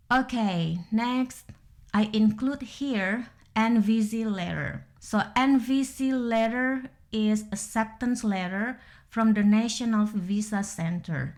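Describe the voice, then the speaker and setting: A woman with an Indonesian accent, whose first language is Indonesian, narrating a careful step-by-step voice-over that walks through documents one by one. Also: mid-range voice. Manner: careful, methodical, explaining one document at a time. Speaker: vlogger.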